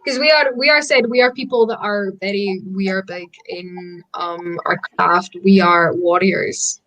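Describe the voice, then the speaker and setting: A woman's voice: medium pitch, steady tone, unhurried, a bit bright, rough recording. Scottish woman, native Gaelic speaker, cheerful guest, laughs easily, keen to explain words. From Scotland. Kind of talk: casual video call chat.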